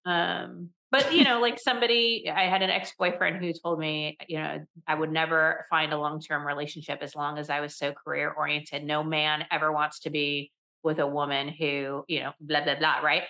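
A middle-aged woman talking candidly as a guest on a podcast interview, animated and quick to laugh, often repeating words as she restarts a thought. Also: low-pitched, vocal fry. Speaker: podcast guest, leadership coach. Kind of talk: conversational interview.

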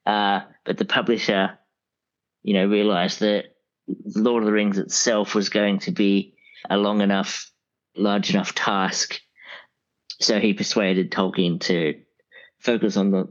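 A man speaking as a podcast guest reviewing audiobooks, picking his words in a halting, considered way. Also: low voice, level tone, muffled recording. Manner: halting, considered, deliberate phrasing. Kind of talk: conversational review.

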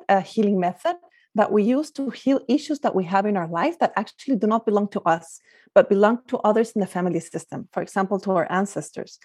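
Middle-aged woman from Guatemala, warm mellow tone, dry close sound, thoughtful and hesitant. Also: medium pitch, dry recording. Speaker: guest, life coach. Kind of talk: conversational interview answers.

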